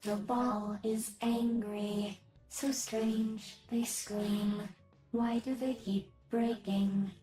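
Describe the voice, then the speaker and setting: Robotic clockwork girl, eerily childlike, detached menace, slow clipped game lines, clear music behind. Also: fairly high pitch, poor audio. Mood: detached, menacing. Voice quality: robotic, mechanical delivery. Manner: short clipped phrases, flat detached menace. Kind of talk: video game character voice lines. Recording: game audio clip over music.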